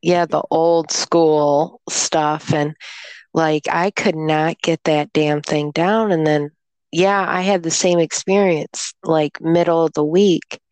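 A woman chatting casually, slowly telling a rambling story about being ill, still sounding congested. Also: deep voice, a little mellow, no echo. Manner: rambling storyteller, slow and drawn-out. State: congested, recovering from illness. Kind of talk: casual chat.

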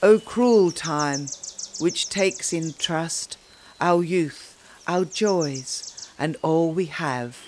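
A woman reciting poetry in a measured, dramatic way with deliberate pauses. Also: deep voice, darkish tone, a little hiss or hum. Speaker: poetry reader.

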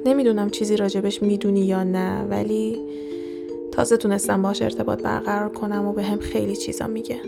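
A woman's voice: medium pitch, little pitch movement, gravelly, loud music behind the voice, natural voice. A woman voicing a serious young friend who confides secrets earnestly and hesitantly in an audio story. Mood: grave, confiding.

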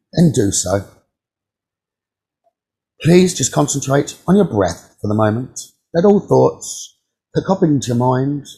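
A man leading a guided meditation as a teacher, giving calm, soothing instructions with frequent pauses. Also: mid-range voice.

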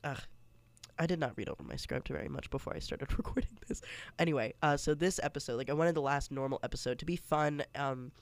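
A man's voice: a little high, quick pace. A congested young man hosting a casual solo podcast, chatty and rambling with many fillers and restarts. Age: young adult. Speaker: podcast host.

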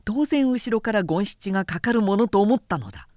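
A woman reading a literary story as a dramatic audiobook narrator, in a measured, expressive storytelling manner. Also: medium pitch, no room sound, studio quality. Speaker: narrator, announcer.

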